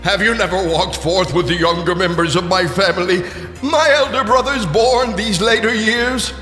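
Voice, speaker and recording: A man's voice: high voice, moderate pace, sharp tone, soft background music, pitched above the performer's own voice. A man playing a jolly giant spirit in a radio drama, grand and declamatory, with long reverb on the voice. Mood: jovial, solemn. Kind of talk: radio theater acting. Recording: long echoing hall reverb.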